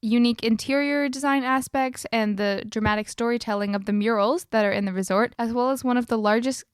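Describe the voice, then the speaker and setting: A woman's voice: on the high side, steady tone, brisk, smooth, no echo, studio quality. A woman co-hosting a podcast, presenting information partly read from notes in a conversational setting. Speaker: podcast co-host.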